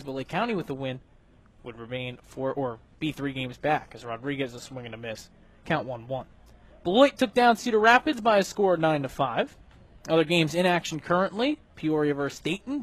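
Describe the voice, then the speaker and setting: A man doing live baseball radio commentary as the analyst, in a conversational, analytical way. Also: a little high. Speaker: color commentator and scoreboard announcer.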